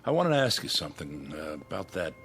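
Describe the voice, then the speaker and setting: An actor playing a dutiful, open-minded major in a radio drama, speaking in a measured, probing way. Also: male voice, very deep voice, big pitch swings, a bit bright, faint music behind, heavily acted. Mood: calm, probing.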